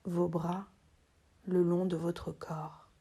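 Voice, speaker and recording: A woman with a lowish, mellow voice leads a guided relaxation. She half-whispers in short, soothing phrases with long pauses between them. Her delivery stays very even in pitch. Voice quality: soft, breathy, half-whispered. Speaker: yoga teacher.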